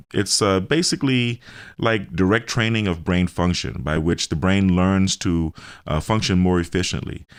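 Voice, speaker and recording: A middle-aged man answering questions in a radio interview, explaining his health services carefully and haltingly. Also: deep voice. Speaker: show host and health business owner being interviewed.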